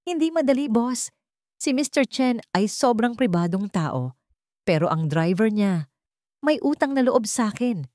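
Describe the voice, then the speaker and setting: A woman's voice: fairly high pitch, big pitch swings, measured pace, darkish tone, dry recording, clean studio sound, voice pushed up. A woman voicing a loyal, discreet male investigator in an audio drama, matter-of-fact and deferential. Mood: businesslike.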